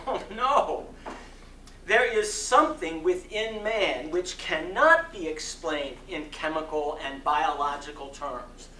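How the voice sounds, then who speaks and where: A man delivers a dramatic sermon reading in a forceful, bright voice. His phrasing is slow, deliberate and oratorical. The sound is echoey, as if picked up at some distance in a hall. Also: on the high side. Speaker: speaker giving a dramatic reading. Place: community center hall. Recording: roomy echo, distant mic, faint noise.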